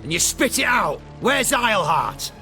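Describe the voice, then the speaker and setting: A man's voice: sits high, big pitch swings, unhurried, vocal fry, sharp tone, exaggerated voice. An actor playing a vicious, foul-mouthed male interrogator in a video game. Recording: music behind the voice.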